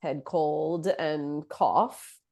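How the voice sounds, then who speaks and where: A woman in her thirties, a podcast co-host chatting casually, her voice deep and nasal from a head cold, self-deprecating and full of fillers. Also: steady tone, very slow, darkish tone. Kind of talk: casual chat.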